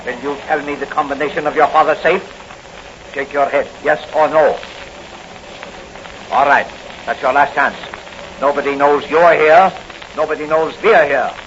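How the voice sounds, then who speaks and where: An actor with an American accent playing a tough, commanding figure in a 1940s radio crime drama. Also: male voice, a little high, fairly even intonation, moderate pace, pauses often, fairly bright tone, natural voice. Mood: menacing. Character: ruthless gangsters and a stern masked crimefighting hero. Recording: noisy, muffled old radio recording.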